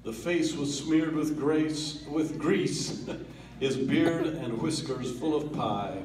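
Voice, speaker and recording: A man giving a dramatic reading of a comic poem at a family gathering, theatrical, with swells and hushed drops. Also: fairly high pitch, slow. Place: family holiday gathering in a room. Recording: roomy, distant home video.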